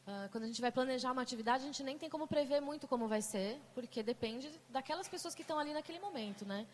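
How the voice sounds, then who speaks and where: A woman's voice: on the high side, a little hiss or hum. A woman with a Brazilian Portuguese accent leading a group conversation, chatty, with many fillers and restarts. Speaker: mediator. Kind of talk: casual talk to a group.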